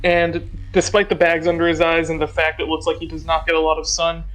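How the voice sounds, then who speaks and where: A man's voice: a little high, monotone, brisk, fairly bright tone, rough recording. A man playing a tabletop role-playing game, describing his part in a casual, matter-of-fact way.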